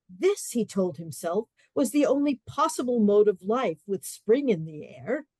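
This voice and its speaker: A woman narrating a radio play in a measured, literary storytelling manner. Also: on the high side, darkish tone, no echo.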